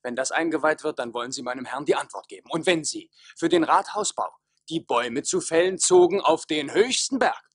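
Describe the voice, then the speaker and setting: An excitable manservant in a radio play. His husky voice swoops up and down as he recounts with theatrical scorn and disbelief. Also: a little high, fairly quick, hardly any pauses, no echo, studio quality. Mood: scornful, urgent. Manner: theatrical, incredulous storytelling. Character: excitable, scornful manservant wooing a guard girl.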